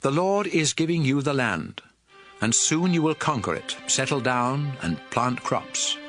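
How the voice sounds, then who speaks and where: A male narrator reads scripture in a medium-pitched, expressive voice that moves around a good deal. He is measured and authoritative, with music playing clearly behind him.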